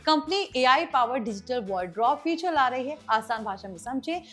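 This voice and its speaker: A woman's voice: high-pitched, lively intonation, normal speed, a bit bright. A woman with an Indian accent hosting tech news, explaining scripted items in a presenter's manner. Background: background music.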